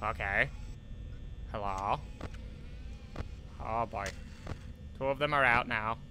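A man's voice: medium pitch, slow pace, bright, forceful tone, slight background noise, full character voice, low-quality recording. A man voicing a cartoonish, creepy schoolteacher who talks theatrically to himself while commentating on a horror video game. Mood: anxious. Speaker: gaming video host.

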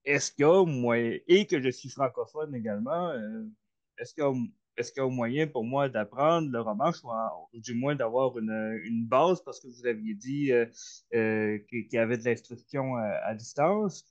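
A man asking a question on a video call, halting and full of fillers and restarts. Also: mid-range voice. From Canada. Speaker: audience member asking a question. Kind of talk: hesitant spontaneous question. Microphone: computer mic on a video call. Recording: slightly dull video-call audio.

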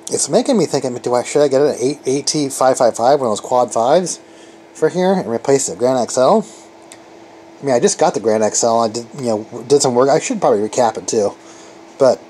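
A man's voice: medium pitch, warm tone. A man thinking aloud in a casual, rambling hands-on demonstration, recorded on a handheld camera in a garage workshop. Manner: rambling, informal. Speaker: radio repair hobbyist reviewing gear. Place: cluttered garage workshop with open door. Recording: noisy workshop with radio hiss and hum. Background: radio static and hum, ambient noise.

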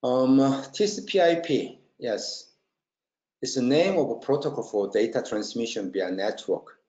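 A man presenting a technical webinar in halting non-native English, checking often that listeners follow. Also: medium pitch, animated, a little mellow. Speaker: webinar presenter, company marketing trainer. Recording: roomy, dull lo-fi webinar audio.

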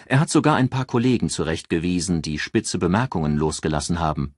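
A man's voice: low-pitched, animated, fast talker, breathy edge, no room sound, studio quality. A man voicing a self-assured career woman in an audiobook, with a cool, drawling, ironic and taunting manner. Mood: composed.

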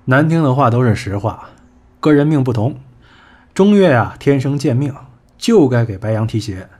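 Sneering, sharp-tongued man played by an actor in audio drama. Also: mid-range voice, expressive, moderate pace, close to an everyday voice. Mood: scornful. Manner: sneering, blunt, sarcastic. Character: cynical, sharp-tongued male talent manager, mocking and scornful. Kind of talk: Chinese audio drama acting.

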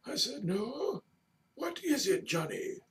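A whimsical, very old gentleman tells his tales with grand, halting drama. The pitch is extremely high and swings widely up and down. He is heard at a distance from a webcam microphone in a home room, like a comedy web storyteller. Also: sing-song, full character voice. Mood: welcoming, theatrical. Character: whimsical, doddering, very old male storyteller, theatrical. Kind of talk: comedic in-character storytelling to camera. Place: small home room, indoors. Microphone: webcam at desk distance.

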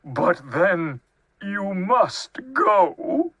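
Here is a grumpy, easily distraught bear from a children's storybook. The voice leaps high with exasperation and swings widely. It is forceful and rough-edged, with dramatic bluster. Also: wildly swooping pitch, very slow, no echo, exaggerated voice. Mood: tearful, defeated.

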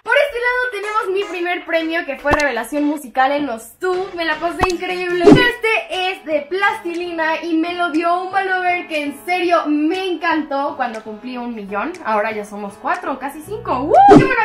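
Young woman, high bright voice, bubbly and chatty, house tour vlog, echoey rooms, music underneath. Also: recorded from a distance. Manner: bubbly, chatty, often laughing. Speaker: vlogger. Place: large house indoors, rooms with hard surfaces.

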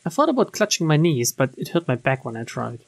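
A streamer reading a visual novel aloud in an expressive way, with casual, joking asides. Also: mid-range voice, fast talker, creaky. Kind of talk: let's play read-aloud with casual asides.

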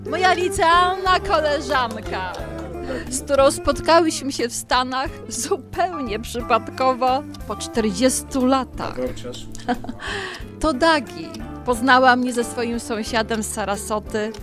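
An actress playing a heartfelt older bride and grandmother in an audio drama, speaking in an unhurried, emotional way. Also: sits high. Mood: grateful, festive. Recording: music behind the voice.

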